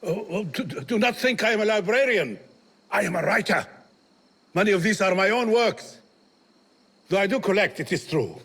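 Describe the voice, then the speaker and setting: A man's voice: high-pitched, lively intonation, moderate pace, pauses often, a bit bright, slightly rough, heavily acted. A man playing a fussy, self-important bookish writer in a video game, speaking emphatically. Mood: flustered pride. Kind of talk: video game cutscene acting.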